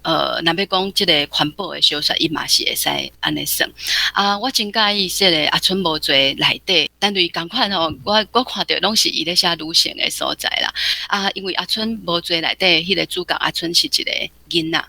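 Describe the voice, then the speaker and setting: A radio guest from Taiwan reflecting on a novel, speaking thoughtfully with frequent pauses. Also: medium pitch, gravelly, sharp tone, no echo. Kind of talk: literary commentary.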